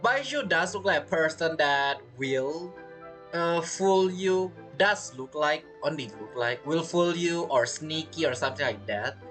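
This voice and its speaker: Animated male gamer commentating. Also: fairly high pitch, unhurried, soft background music. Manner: chatty, musing aloud. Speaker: gaming streamer. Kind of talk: casual game playthrough commentary.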